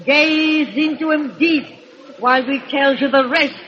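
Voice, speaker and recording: A woman's voice: high voice, measured pace, slight background noise. An actress playing a gleefully macabre, cackling witch of a hundred and eleven, telling horror tales in rustic folksy speech on old-time radio. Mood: eerie, inviting. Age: 111, very old. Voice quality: cracked, cackling old crone voice. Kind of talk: 1930s radio horror storytelling. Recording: muffled old radio recording.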